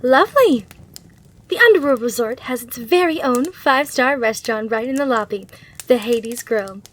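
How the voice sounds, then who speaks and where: A woman's voice: fairly high pitch, lively intonation, normal speed, a bit bright. A woman playing a gracious, eager demon hotel receptionist in an ASMR roleplay, with polite, reassuring customer-service patter. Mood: cheerful, helpful.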